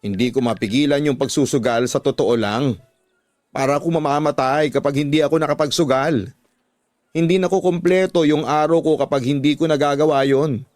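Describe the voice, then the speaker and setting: A man's voice: on the high side, steady tone, fairly quick, darkish tone, no room sound, studio quality, close to an everyday voice. A Filipino man voicing a defiant, blaming wife with a gambling habit in a radio drama. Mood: confessing.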